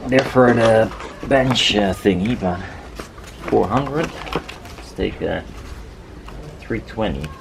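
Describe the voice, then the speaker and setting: Low-voiced man muttering over noisy workshop chat. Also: takes it slowly, creaky, long pauses. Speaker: DIY maker filming a build video. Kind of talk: casual workshop chat, thinking aloud while working. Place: outdoors in a garden. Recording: noisy outdoor garden recording.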